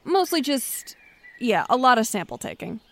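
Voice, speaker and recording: A woman's voice: fairly high pitch, very animated, normal speed. A woman playing a guarded, defensive scientist in a naturalistic audio drama, with a hesitant, dry, easily exasperated manner. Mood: defensive, explaining. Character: guarded, defensive woman scientist who hunts mysterious creatures.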